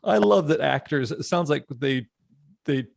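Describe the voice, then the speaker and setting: A man's voice: on the high side, animated, unhurried, gravelly, soft background music. A man hosting a casual podcast chat in an enthusiastic, effusive manner. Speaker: podcast host.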